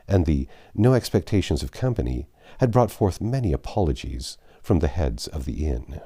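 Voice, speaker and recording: A man reading classic literary fiction aloud as an audiobook narrator, in a measured, expressive storytelling manner. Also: deep voice.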